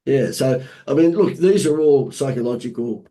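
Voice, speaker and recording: An Australian man, hoarse from laryngitis, telling lively marketing anecdotes as a podcast guest with playful asides. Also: mid-range voice, normal speed. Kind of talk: casual storytelling interview.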